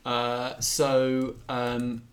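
A man's voice: medium pitch, monotone, very slow. A man co-hosting a podcast in casual chat, speaking hesitantly with wry asides. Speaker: podcast co-host and film reviewer.

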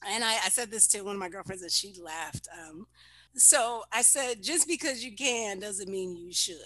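A woman chatting casually, animated and playful, teasing her co-host and laughing often. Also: medium pitch, moderate pace, no echo. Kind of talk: casual chat.

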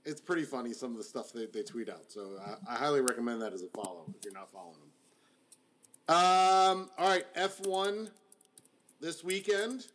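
A man co-hosting a casual sports podcast, tossing out teasing jokes in quick banter. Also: mid-range voice, expressive, a bit bright, slight background noise. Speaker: podcast co-host.

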